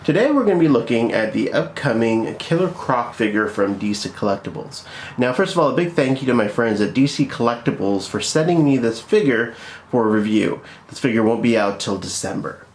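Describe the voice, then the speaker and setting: A man's voice: mid-range voice, animated, brisk. A man hosting a casual product review, speaking informally and with enthusiasm. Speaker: toy review host.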